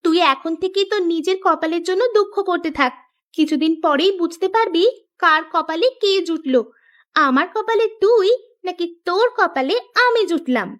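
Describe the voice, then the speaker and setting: A woman's voice: very high-pitched, normal speed, fairly bright tone. A woman voicing a cheeky, sweet young village woman in a cartoon, perky and playful. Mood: sassy.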